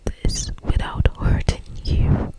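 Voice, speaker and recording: A woman voicing a yearning, anguished old male vampire lover in a breathy, hesitant whisper for an ASMR story. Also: takes it slowly, natural voice. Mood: anguished longing.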